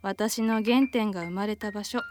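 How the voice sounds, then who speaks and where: A woman's voice: mid-range voice, fairly even intonation, moderate pace, over music, natural voice. A woman playing an earnest, sentimental girl in a radio drama, speaking in a heartfelt, insistent way. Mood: wistful. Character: earnest, sentimental girl attached to her old desk.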